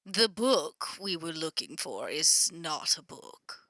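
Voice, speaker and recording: A woman playing an exasperated, sarcastic vampire businesswoman in an improvised roleplay, with a halting, dry delivery. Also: low-pitched, big pitch swings, a bit bright, dry recording, exaggerated voice. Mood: cagey. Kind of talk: improvised tabletop roleplay, phone conversation.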